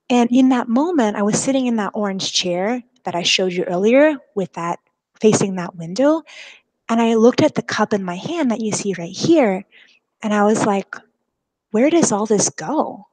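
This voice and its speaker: A young woman with a Californian accent speaks as a guest presenter to school classes. Her delivery is informal and enthusiastic, with youthful, casual phrasing, at a medium pitch for a woman. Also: normal speed, a little mellow. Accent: American, Californian. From California, United States. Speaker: guest speaker, explorer and storyteller. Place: live video call with screen sharing.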